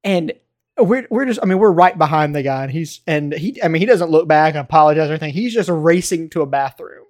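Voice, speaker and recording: An American man chatting casually and telling stories in a rambling, animated way. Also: on the high side, quick pace, no echo, studio quality. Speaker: podcast co-host. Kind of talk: casual chat, storytelling.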